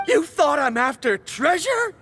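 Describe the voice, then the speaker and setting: An actor playing a proud, obsessive mineral scholar in a video game, pedantic and theatrical, quick to get worked up. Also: male voice, bright, forceful tone, slight background noise, exaggerated voice. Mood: indignant, agitated. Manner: pedantic, theatrical, swinging into shrill agitation. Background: game music.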